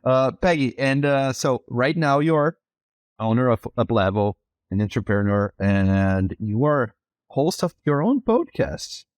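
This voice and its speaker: A man from southern Brazil who speaks English as a second language. He hosts a podcast and talks in a halting, friendly way with many fillers and restarts. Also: medium pitch, very animated, no room sound. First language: Portuguese. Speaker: podcast host. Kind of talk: casual interview chat.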